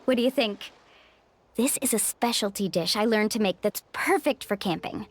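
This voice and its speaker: A woman's voice: medium pitch, moderate pace, close to an everyday voice. A woman playing an upbeat, caring young tour guide in a video game, with a reassuring, confident manner. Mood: cheerful.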